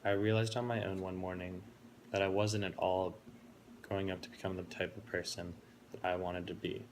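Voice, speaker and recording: A college-age man from Florida giving a prepared, earnest introduction speech in a steady, reflective way. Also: low voice, moderate pace, a little hiss or hum. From Florida, United States. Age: 20s.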